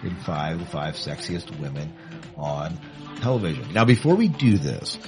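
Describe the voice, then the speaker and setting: A man's voice: extremely low pitch, expressive, moderate pace, darkish tone, faint music behind. A man hosting a podcast in a casual, chatty style. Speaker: podcast host.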